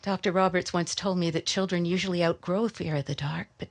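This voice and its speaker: A woman portrays a middle-aged mother in a radio play. Her voice is notably low. She speaks with gentle, indulgent teasing. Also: steady tone, brisk, creaky. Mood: gently teasing. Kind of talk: radio drama dialogue.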